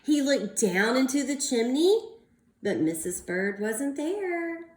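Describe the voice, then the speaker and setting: High-voiced woman reading aloud to children. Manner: animated, drawn-out delivery, prompting young listeners. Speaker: teacher reading a picture book aloud to young children. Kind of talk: expressive storybook read-aloud with questions to young children. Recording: roomy, echoing indoor sound.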